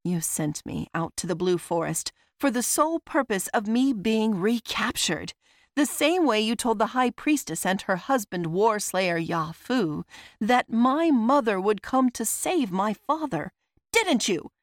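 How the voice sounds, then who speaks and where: A woman voicing a vengeful, righteous magic wielder who accuses forcefully in a fantasy audiobook. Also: fairly low pitch, very animated, normal speed, vocal fry, hardly any pauses, no echo, studio quality. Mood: accusing.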